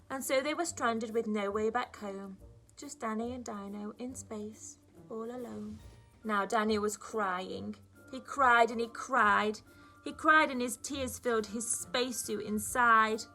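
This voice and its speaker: A woman, a primary school teacher, reading aloud to young children in an expressive storybook style with dramatic emphasis. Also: on the high side.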